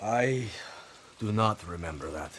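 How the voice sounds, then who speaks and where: Cocky wisecracking guerrilla. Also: male voice, on the low side, expressive, measured pace, fairly bright tone, slight background noise. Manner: swearing, quipping, sprinkles Spanish words. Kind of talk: video game dialogue.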